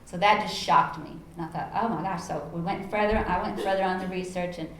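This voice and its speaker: A middle-aged woman with a Texas accent, speaking on a conference panel and telling stories from her teaching in an animated way. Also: fairly low pitch, a little fast. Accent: Texan. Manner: animated storyteller, acts out her own quoted lines. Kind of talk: panel talk, personal storytelling. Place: conference room. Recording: echoey room, faint background noise.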